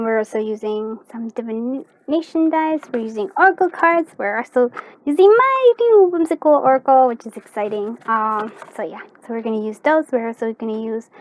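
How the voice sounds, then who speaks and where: A woman hosting a casual tarot card reading for viewers, chatty and informal, often pausing to think aloud. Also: a little high, no room sound. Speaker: tarot card reader and channel host.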